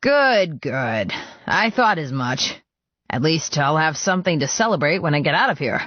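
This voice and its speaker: A man's voice: a little high, big pitch swings, normal speed, sharp tone, no echo, dull audio, voice pushed up, heavily acted. A man voicing a jovial, sprightly older male convict with an upbeat, chatty manner. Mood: cheerful.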